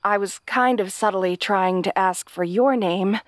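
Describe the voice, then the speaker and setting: A woman's voice: mid-range voice, measured pace, no echo, studio quality, close to an everyday voice. A woman playing a kind, hapless young princess in a fantasy audio roleplay, talking to the listener in a nervous, stammering, chatty way. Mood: flustered, chatty. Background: night sounds with crickets.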